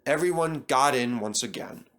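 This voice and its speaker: A man narrating a children's story from a script in an expressive, dramatic storytelling manner. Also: medium pitch, moderate pace. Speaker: storybook reader.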